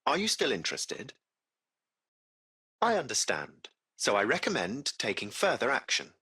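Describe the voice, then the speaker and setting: A crisp-edged, forceful yet impeccably courteous machine chauffeur from a video game. The delivery is formal and precise with a lively, varied melody, and the voice carries a gravelly creak. The character sounds curious and butler-like, choosing words with care. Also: male voice, medium pitch, normal speed, full character voice. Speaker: AI driver of a cab service.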